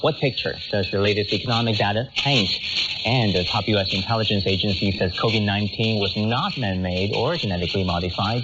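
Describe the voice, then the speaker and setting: A man hosting a current affairs show, presenting in a scripted, questioning style. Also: on the low side. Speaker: talk show host. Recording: muffled shortwave radio with loud static noise.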